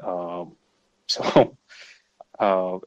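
A man whose first language is Russian hosts a solo political commentary podcast, talking in a measured, analytical way with many fillers and restarts. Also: low-pitched, fairly even intonation, no room sound, dull audio. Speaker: podcast host.

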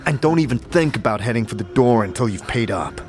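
A man's voice: mid-range voice, expressive, fairly quick, a little mellow, soft background music, natural voice. A man playing a firm, demanding adventurer in an audio drama, speaking in terse commands and questions. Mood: commanding.

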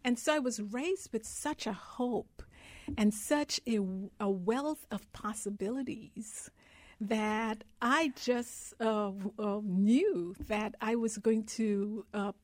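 A woman's voice: fairly high pitch, slow pace, dry recording. A woman from Guyana, a guest in an interview, telling her life story in an earnest, heartfelt way.

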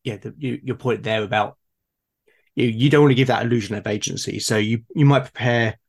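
A man's voice: mid-range voice, a little fast, gravelly, husky. A man talking as a game master in an unscripted discussion, hesitantly repeating words as he forms his thoughts.

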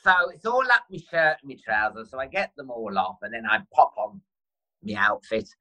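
A male podcast guest who tells stories in a chatty, tumbling way, full of ums and quoted asides. His voice is bright and forward, and his pitch bounces around with lively animation. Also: normal speed. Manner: chatty storyteller with fillers and self-quotes. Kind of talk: anecdotal chat.

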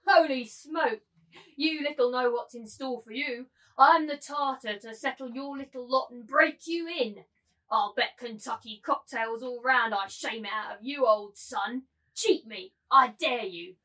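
A woman's voice: a little high, a little slow, sharp tone, poor audio. A woman playing a sadistic, bullying brothel keeper turned man in a dramatic reading, commanding and relishing every threat. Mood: gloating, commanding. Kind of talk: dramatic table reading of a novel.

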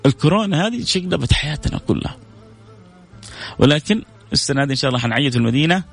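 A man with a Hijazi Saudi accent and a creaky voice, hosting a casual religious radio chat and addressing listeners affectionately with endearments and blessings. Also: on the high side, expressive, very fast, a bit bright, soft background music. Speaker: radio show host.

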